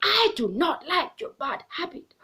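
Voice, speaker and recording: A woman's voice: very high-pitched, big pitch swings, measured pace, bright, forceful tone, heavily acted. A woman voicing an annoyed, stubborn storybook monkey in a scolding, insistent manner.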